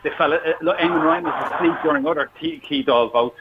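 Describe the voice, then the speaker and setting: An Irish man calls in to a radio show, blunt and heated, and he swears as he rants. He talks fast. The line is muffled, with a faint hiss behind him. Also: a little high. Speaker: caller. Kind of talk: political rant on a phone-in. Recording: dull phone line with faint noise.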